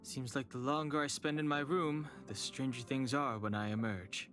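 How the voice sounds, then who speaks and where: A man's voice: medium pitch, fairly even intonation, normal speed, a bit bright, soft background music, close to an everyday voice. Man playing a reserved, brooding anime game hero. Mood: guarded, resigned. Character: reserved, brooding young man, guarded and serious. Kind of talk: anime-style video game voice acting.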